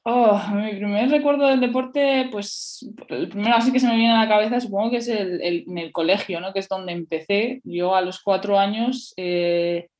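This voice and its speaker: A woman in her twenties with a voice of middle pitch. She speaks casually and chattily as an interview guest, padding her sentences with everyday fillers. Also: moderate pace, few pauses, darkish tone. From Madrid, Spain. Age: 20s. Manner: chatty, many fillers like "y tal", "en plan". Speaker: guest athlete.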